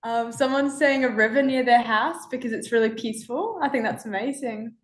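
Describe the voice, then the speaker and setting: A woman's voice: on the high side, brisk. A fifteen-year-old girl running an icebreaker, hesitant and starting lines with "um". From Australia. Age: teens. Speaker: student presenter. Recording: echoey room.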